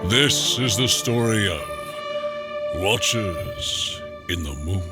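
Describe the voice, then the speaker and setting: A man narrating an audio drama as a mysterious storyteller, in a portentous, deliberate manner. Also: on the low side, animated, music in the background. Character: mysterious storyteller introducing eerie cosmic tales. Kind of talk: dramatic scripted narration for an audio fiction series.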